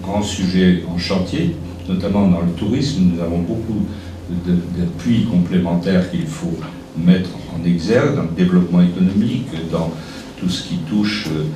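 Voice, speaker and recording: A man's voice: low-pitched, moderate pace. A man giving a formal, partly improvised speech as an official at a ceremony. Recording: very echoey room, distant noisy mic.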